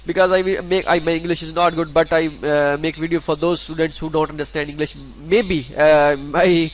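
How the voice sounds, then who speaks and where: Halting man. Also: on the high side, fairly even intonation, poor audio. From Pakistan. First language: Urdu. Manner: halting, many fillers and restarts. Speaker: scholarship guide YouTuber. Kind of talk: screen-recorded tutorial walkthrough.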